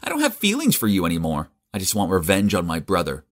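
A man's voice: high-pitched, huge pitch swings, unhurried, vocal fry, sharp tone, husky, no echo, studio quality, pitched above the performer's own voice, full character voice. A man voicing a scheming, vengeful young woman who turns pleading when caught. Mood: scornful. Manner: pleading, then spiteful.

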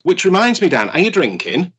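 A man co-hosting a podcast, chatting casually with quick jokey asides. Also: sits high, sing-song, fairly bright tone, breathy edge, lo-fi sound. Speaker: podcast co-host.

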